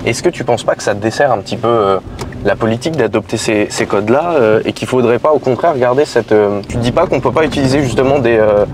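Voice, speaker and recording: A man hosts a casual interview from a moving car, piling up fillers and restarts as he teases his guest. His voice sits at an ordinary male pitch. Clear traffic noise fills the background. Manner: halting, many fillers, teasing. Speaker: interviewer and show host. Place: inside a car on city streets.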